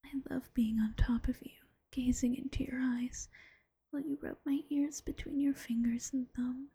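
A woman's voice: sits high, steady tone, creaky, mellow, breathy edge, heavily acted. Woman whispering as a teasing bunny girlfriend. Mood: teasing, coaxing. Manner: slow, coaxing and teasing. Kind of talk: ASMR roleplay.

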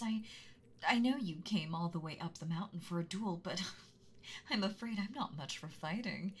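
A woman's voice: fairly low pitch, slight background noise. A woman voicing a whispery motherly dragon. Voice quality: soft breathy whisper, doting and slow. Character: gentle motherly giant female dragon. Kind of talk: whispered roleplay audio, ASMR.

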